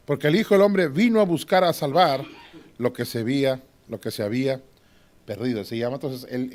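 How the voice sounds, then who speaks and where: Male Bible teacher, medium voice, animated sermon-style lecture. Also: medium pitch. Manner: lively preaching, asks "amén o no?" to the class; swings from loud to hushed, with short laughs.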